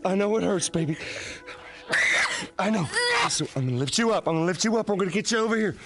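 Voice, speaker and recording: A man's voice: sits high, animated, fast, very noisy. An actor playing a desperate, grieving father in a game drama, pleading urgently with a tearful, breaking voice. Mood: anguished pleading. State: crying, voice breaking. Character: desperate, grieving father cradling his dying daughter. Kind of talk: naturalistic dramatic game performance.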